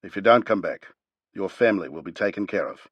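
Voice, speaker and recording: A man's voice: deep voice, animated, breathy edge, dry recording, dull audio. A man reading aloud, voicing a reserved old male warrior speaking solemnly. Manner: measured, restrained.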